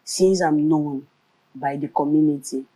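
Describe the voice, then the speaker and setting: This woman speaks low with a mellow tone and a Nigerian Igbo accent. She is a lively storyteller, moving between English, Pidgin and Igbo as she recounts her path as a comedian and radio presenter. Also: a little slow, poor audio. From Nigeria, Nkanu land. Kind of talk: storytelling in a conversational interview.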